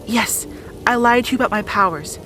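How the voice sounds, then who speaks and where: A woman's voice: a little high, brisk, sharp tone, faint music behind. A woman playing an earnest, wounded young princess in a fantasy audio drama, speaking with pleading emotion. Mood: anxious, confessing.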